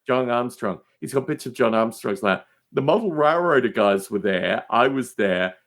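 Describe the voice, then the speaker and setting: A man's voice: mid-range voice, animated, normal speed, fairly bright tone. A man chatting casually as a podcast guest, telling digressive anecdotes with emphatic asides.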